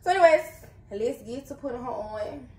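Woman, beauty vlogger, candid informal chat, higher-pitched voice, echoey indoor room. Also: animated, moderate pace, a little mellow. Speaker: vlogger and wig seller. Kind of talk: casual beauty vlog chat. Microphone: phone camera at a distance. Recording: roomy, distant mic, faint noise.

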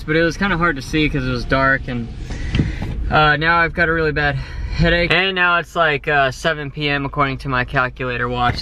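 A man's voice: a little high, sharp tone, a little hiss or hum. A man in his thirties vlogging candidly to a handheld camera, rambling with many fillers, first from his car and then outdoors in a park. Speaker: vlogger and delivery driver. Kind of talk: casual self-filmed vlog talk. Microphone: phone or camera held at arm's length.

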